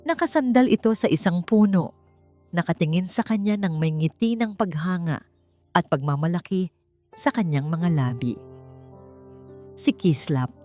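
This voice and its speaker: A woman's voice: on the low side, expressive, unhurried, pauses often, warm tone. A woman reading a scripted story as a dramatic audiobook narrator. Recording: music bed under the voice. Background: background music.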